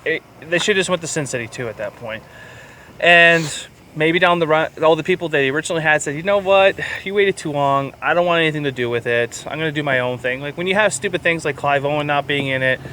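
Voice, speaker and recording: A man with a slightly higher-pitched voice chats casually about films as a podcast co-host. He is irreverent and loose with profanity. A faint layer of background noise sits behind his voice. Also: fast talker, pushed and bright. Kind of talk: casual film review chat. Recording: clear background urban ambient noise.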